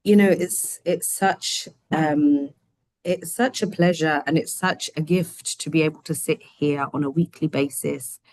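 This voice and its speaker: Low-voiced woman hosting an online lesson. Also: steady tone, unhurried, no echo. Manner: gentle, chatty, many fillers. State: slightly croaky voice. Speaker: host. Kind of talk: online study session, translating and explaining. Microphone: video call.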